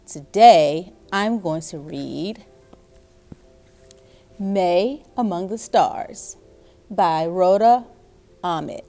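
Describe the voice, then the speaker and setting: A woman reading a children's story aloud in an expressive way, speaking directly to young listeners. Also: on the low side, drawn-out words, long pauses, soft background music. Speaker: story time reader. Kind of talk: reading a children's picture book aloud with asides.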